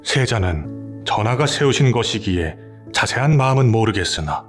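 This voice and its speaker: A man's voice: fairly low pitch, lively intonation, faint music behind, close to an everyday voice. An actor playing a composed scholar-statesman who speaks politely and carefully, in an audio drama. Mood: guarded. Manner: measured, polite. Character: composed middle-aged male scholar-statesman, calm and guarded.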